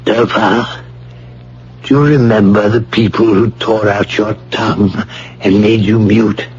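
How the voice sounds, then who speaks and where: An actor playing a suave, condescending, menacing art thief and schemer in a radio drama. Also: male voice, on the low side, steady tone, measured pace, darkish tone, lightly acted. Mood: cruel, threatening. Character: smooth, menacing self-confessed male art thief and schemer. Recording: dull old radio broadcast with hum.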